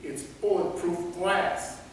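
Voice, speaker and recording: A man playing a curious guard who stalls his captors with hesitant questions in a comic staged reading. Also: high voice. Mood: skeptical. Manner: halting, inquisitive, hesitant phrasing. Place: large reverberant indoor performance space. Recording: echoey hall from far off, noisy.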